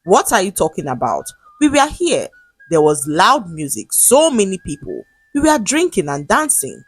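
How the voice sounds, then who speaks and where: A woman's voice: medium pitch, sing-song, moderate pace, slightly rough, no echo, faint music behind. A woman storyteller voicing a frightened, pleading young woman with urgent emotion. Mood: anxious disbelief. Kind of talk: dramatic storytelling dialogue.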